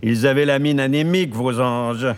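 An actor playing a jaded artist who talks in a mocking, sardonic way. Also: male voice, mid-range voice, normal speed, clean tone, natural voice. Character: sardonic jaded male painter.

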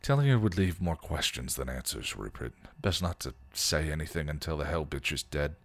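A man's voice: very deep voice, expressive, brisk, dry recording, voice pushed down, exaggerated voice. A man voicing a sardonic, grieving male punk vampire, slangy and weary in a fan fiction reading.

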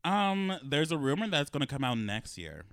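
A man's voice: a little high, sing-song, moderate pace. A man in his twenties co-hosting a podcast, laid-back and teasing, laughing often. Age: 20s. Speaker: podcast co-host. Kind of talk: casual chat.

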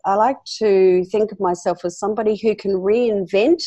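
A woman's voice: mid-range voice, normal speed, warm tone, no room sound. Chatty Australian woman in her fifties. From South Australia. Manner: chatty, many fillers and restarts, laughs. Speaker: guest, founder and media commentator. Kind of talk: casual interview talk.

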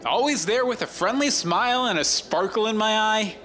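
An actor playing a vain, needy American news anchor who sounds self-important and defensive. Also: male voice, sits high, animated, moderate pace, music in the background.

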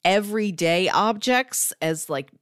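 A woman's voice: mid-range voice, animated, measured pace, a bit bright, dry recording, clean studio sound. A woman co-hosting a podcast, talking casually about books and explaining through listed examples. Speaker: podcast co-host. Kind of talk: casual book discussion.

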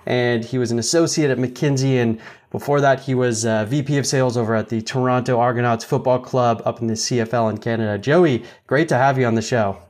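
A man's voice: medium pitch, steady tone, brisk, a little mellow. Male podcast host, conversational. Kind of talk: upbeat interview chat.

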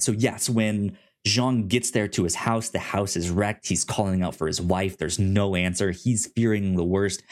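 A man hosting a podcast, retelling a comic plot in loose casual chat, often stuttering into repeated syllables. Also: on the low side. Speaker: podcast host. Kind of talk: casual comic book discussion.

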